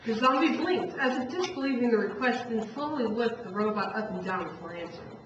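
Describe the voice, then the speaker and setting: A woman's voice: a little high, animated, a little fast. A woman reading a story aloud as narrator of a puppet show, stumbling over and rereading some lines. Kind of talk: live storytelling read from a script. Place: echoey room, recorded from far off. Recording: distant echoey room, muffled, noisy.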